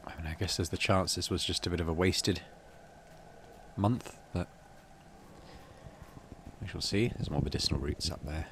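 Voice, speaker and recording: A man's voice: sits low, moderate pace, long pauses, a bit bright, a little hiss or hum. A middle-aged man hosting a game playthrough, commenting casually and reading the story text aloud. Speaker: gaming video host.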